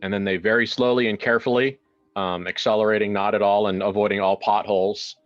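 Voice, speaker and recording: Male tabletop storyteller, lower register, halting improvised delivery, slightly lo-fi sound with music underneath. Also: flat delivery. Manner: halting, searching for words with repeated phrases. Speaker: tabletop role-player narrating his character. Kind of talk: improvised game narration. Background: background music.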